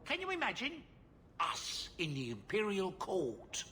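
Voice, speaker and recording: Lively, kindly aging dwarf merchant. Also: male voice, sits high, a little slow, full character voice. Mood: fond, apologetic. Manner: polite, hesitant, folksy. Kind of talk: video game character acting.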